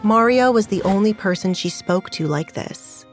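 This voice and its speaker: A woman's voice: mid-range voice, lively intonation, normal speed. Female narrator for a romantic audio drama: mid-range voice, scripted storytelling over light music.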